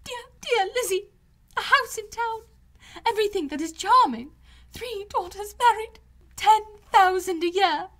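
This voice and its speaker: Excitable middle-aged mom for an audiobook, really high voice, gushing and fluttery, breathless. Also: female voice, measured pace, full character voice. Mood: ecstatic. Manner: breathless exclamations, effusive. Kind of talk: audiobook character voice.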